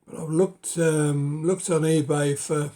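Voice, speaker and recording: A middle-aged man with a cold, speaking hoarsely and haltingly in a casual show-and-tell of his books, often dropping to a whisper. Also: fairly high pitch, fairly even intonation, dark tone. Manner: halting, many ums and uhs, drops to a whisper. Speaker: book collector vlogger.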